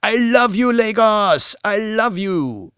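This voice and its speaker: A man's voice: sits high, animated, takes it slowly, a bit bright, clear, resonant tone, no echo, clean studio sound, voice pushed up, exaggerated voice. A man voicing a fervent female African protest leader giving a declamatory rally speech in an audiobook. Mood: joyous shouting. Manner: declamatory, exclamatory.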